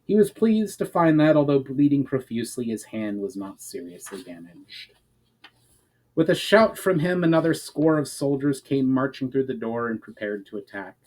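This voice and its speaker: A man's voice: medium pitch, expressive, moderate pace, a little mellow, poor audio. A man giving an earnest dramatic reading of a fantasy story, phrase by phrase, letting his line endings fall. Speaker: author reading own story chapter aloud.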